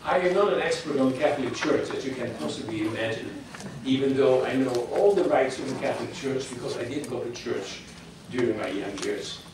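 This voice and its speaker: Older man, guest speaker, halting opinionated delivery, miked from afar in a big echoey hall. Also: medium pitch, far from the mic, background noise. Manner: halting, many restarts, opinionated. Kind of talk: public talk with audience questions. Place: hall with audience.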